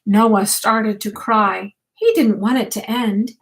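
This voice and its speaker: A woman's voice: medium pitch, steady tone, slow. An older woman, a grandmother, reads a rhyming picture book aloud expressively and unhurriedly, speaking directly to young children. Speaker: grandmother reading a bedtime story to children.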